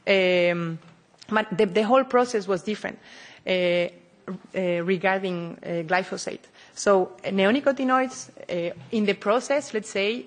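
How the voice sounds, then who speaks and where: Woman, mid-range voice, formal hearing speaker, halting and hedging delivery. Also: mid-range voice. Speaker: panel speaker. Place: parliament hearing room.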